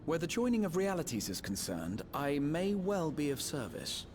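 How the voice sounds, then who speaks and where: A refined, scholarly man offers polite, formal game dialogue. His slightly high voice swings very widely in pitch. Clear background noise surrounds him. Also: sing-song, moderate pace. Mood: keen, composed. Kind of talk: scripted video game dialogue. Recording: noisy game background ambience.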